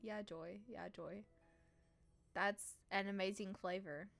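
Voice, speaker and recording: A woman live-streaming a visual novel, reading aloud and reacting casually in a playful, expressive, teasing way. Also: mid-range voice, slow pace, gravelly, faint music behind.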